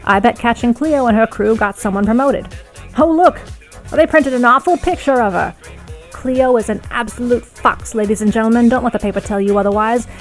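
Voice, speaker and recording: A woman's voice: on the high side, pitched above the performer's own voice, natural voice. A woman voicing a brash, smitten male rebel radio broadcaster in an audiobook, with theatrical, emphatic delivery. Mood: indignant, excited, then lovestruck.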